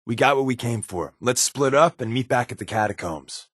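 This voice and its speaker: Game companion role: wisecracking secret agent man, average pitch with very lively inflection, dry sarcastic humor. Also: moderate pace, no echo. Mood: matter-of-fact. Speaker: video game companion character. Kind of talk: game voice acting.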